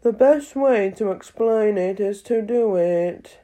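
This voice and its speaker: A woman doing a slow, solemn dodo. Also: medium pitch, warm tone, close to an everyday voice. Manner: slow, drawn-out, ceremonious delivery. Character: grave, pompous dodo bird, slow and solemn.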